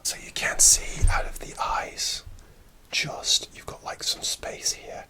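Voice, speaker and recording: A man whispering close to the mic, telling a rambling story for an ASMR video with many pauses and fillers. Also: bright, forceful tone. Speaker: ASMR creator.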